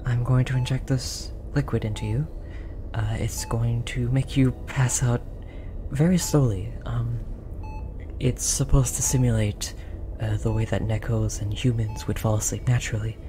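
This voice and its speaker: A performer voicing a gentle, apologetic alien researcher, speaking softly and hesitantly in an earnest, reassuring roleplay style. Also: medium pitch, little pitch movement, moderate pace, music in the background, lightly acted. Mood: soothing, gentle. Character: tall, apologetic, gentle alien researcher soothing an abducted captive. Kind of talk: roleplay audio drama, soft comforting delivery. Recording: audible background noise, monitor beeping.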